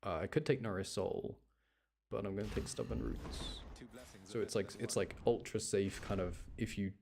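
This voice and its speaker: A man with a fairly low, gravelly voice talks casually through a video game as he plays. He complains lightly, pokes fun at himself and explains his choices. There is clear noise behind his voice. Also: fairly even intonation, normal speed. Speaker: gamer playing and commenting, channel host. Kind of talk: casual gameplay commentary. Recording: noticeable background noise.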